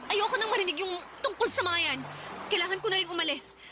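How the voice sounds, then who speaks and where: A woman's voice: very high voice, quick pace, noisy background. A woman playing a hungover, suspicious young woman. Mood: accusing. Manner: agitated, suspicious questioning. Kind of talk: radio drama acting.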